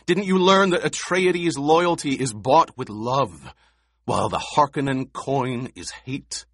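A man reading an audiobook, voicing a young noble heir who speaks in a deadly quiet, controlled monotone. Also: mid-range voice, takes it slowly, dry recording, studio quality, pitched above the performer's own voice. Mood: impassioned. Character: young male noble heir, cold and commanding. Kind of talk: audiobook character voice.

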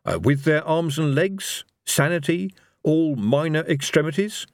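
Man acting a nervy, wry coward, raised pitch. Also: a little slow, no room sound, studio quality. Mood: wry, anxious.

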